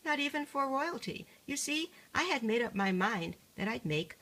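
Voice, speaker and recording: A woman's voice: fairly high pitch, big pitch swings, normal speed, bright, forceful tone, pitched above the performer's own voice, heavily acted. A woman voicing a polite, flustered, chatty duchess from a rhyming picture book in a genteel singsong. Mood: apologetic, flustered.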